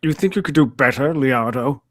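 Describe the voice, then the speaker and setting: A man's voice: medium pitch, normal speed, no room sound, natural voice. A man playing a grumpy, disdainful veteran actor with a curt, dry delivery in a live script read. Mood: sardonic.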